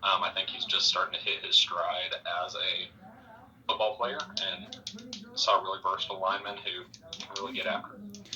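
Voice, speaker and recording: A man working as a draft analyst, answering interview questions in a measured, thoughtful way. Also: low-pitched, fairly even intonation, pushed and bright, far from the mic, lo-fi sound.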